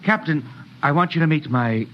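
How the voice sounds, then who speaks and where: An actor playing an excitable, emotional young lieutenant in a 1950s radio drama who stammers when worked up. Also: male voice, fairly high pitch, lightly acted. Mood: joyful. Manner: excitable, stammering, quick to hope. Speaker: ship lieutenant. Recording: dull 1950s radio broadcast, faint hiss.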